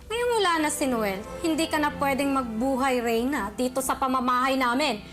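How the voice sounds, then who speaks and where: A woman's voice: high-pitched, normal speed, close to an everyday voice. A woman with a Filipino accent playing a spoiled, spiteful rich older sister in an amateur radio drama. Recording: music playing behind the voice.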